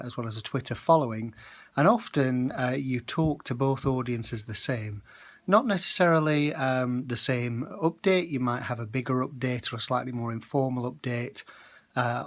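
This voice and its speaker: Guy, medium pitch, chatty explainer giving business social media tips, bit hesitant mid sentence. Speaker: social media marketing presenter. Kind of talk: informal advice to camera.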